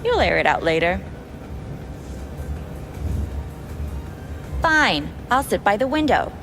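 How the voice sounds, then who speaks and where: A haughty female underworld boss with a mid-pitched voice, speaking in a game cutscene. She is sarcastic and cutting, and talks down to everyone over prominent music. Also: big pitch swings, fairly quick. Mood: sardonic. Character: haughty, sardonic woman boss in cyberpunk underworld. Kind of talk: cinematic game dialogue.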